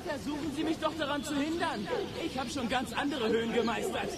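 A man's voice: very high voice, fairly even intonation, fairly quick, a loud crowd, heavy background noise. An actor playing a defiant, hot-tempered boy in a children's audio drama, cheeky and emphatic.

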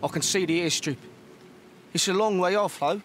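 An actor playing a cocky, grumbling young British convict turned commando in a video game, blunt, with a London accent. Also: male voice, sits high, normal speed, a bit bright, slight background noise. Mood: wary. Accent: English, London. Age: young adult. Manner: surly, blunt. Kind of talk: video game cutscene acting.

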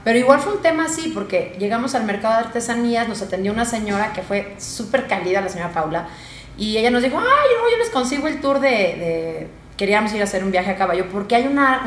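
Mexican woman, 30s, telling a trip story, laughs a lot, echoey studio. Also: medium pitch. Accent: Mexican Spanish. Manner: animated, storytelling, laughs often. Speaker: guest. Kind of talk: studio talk show chat. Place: small TV studio, round table. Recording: roomy studio with faint noise.

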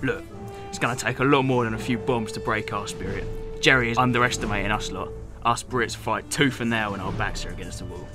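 Bloke acting a plain-spoken british pub regular, war-hardened, defiant, radio play w/ music under. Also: male voice, on the low side, a little fast, lightly acted. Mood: stoic, defiant. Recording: music behind the voice.

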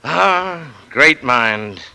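A blustery American boss in a 1940s radio comedy, pompous and effusive with staff. His forceful, very bright voice sits on the high side and swings up and down as he holds forth. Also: lively intonation, very slow, gravelly, clear, resonant tone, heavily acted, poor audio. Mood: genial, self-satisfied. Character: blustery, self-satisfied coffee company boss, genial to staff. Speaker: radio drama actor. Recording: vintage 1940s radio broadcast.

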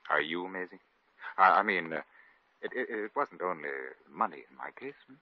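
An actor playing an earnest, lovestruck British lord with a gentlemanly manner in a 1950s radio comedy. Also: male voice, low-pitched, moderate pace, no room sound. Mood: earnest, pleading. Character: earnest, lovestruck English lord, gallant and flustered. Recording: dull, lo-fi old radio recording.

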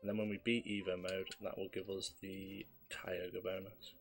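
A slightly hoarse man with a fairly low voice talks through a speedrun in a relaxed, explanatory way. Faint game music plays underneath. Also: normal speed. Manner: relaxed, explanatory, self-deprecating. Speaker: speedrunner commentating his own run. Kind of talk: live gameplay commentary.

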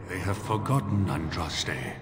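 An ancient, devout male guardian from a fantasy game. He speaks slowly and solemnly, with formal weight, in a cavernous echo over noisy ambience. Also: low-pitched. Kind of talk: fantasy video game acting.

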